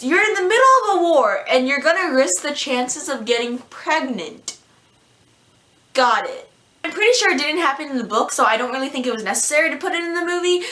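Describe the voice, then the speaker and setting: Chatty young woman in a roomy room. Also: sits high. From United States. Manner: chatty, animated, slips into character voices. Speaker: book and movie reviewer. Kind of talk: casual vlog review.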